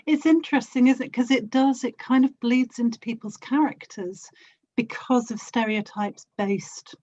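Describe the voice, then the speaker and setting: A woman's voice: on the high side, steady tone, moderate pace, darkish tone, no echo, lo-fi sound. A British woman with an accent close to BBC English, chatting thoughtfully and explaining things as an interview guest. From United Kingdom. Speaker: podcast guest, voice actor and audiobook narrator. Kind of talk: casual interview chat.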